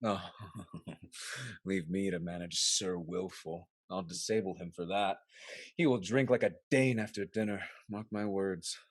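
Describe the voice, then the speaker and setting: An actor playing a bitter, cuckolded husband in a Restoration comedy, scheming and sardonic, with florid, declamatory delivery. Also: male voice, low voice, measured pace, no room sound. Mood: wry, amused. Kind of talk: theatrical Restoration comedy acting.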